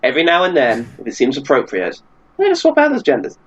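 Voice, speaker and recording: A man's voice: mid-range voice, huge pitch swings, fast, highs cut off. A man guesting on a podcast, bantering casually with dry jokes and mock outrage.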